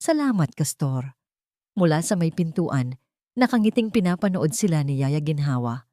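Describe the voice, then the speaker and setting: A woman narrates a dramatic romance story with a measured, gently emotive delivery. Her voice is slightly low for a woman. The recording is close and completely dry, with no room sound. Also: expressive, no room sound.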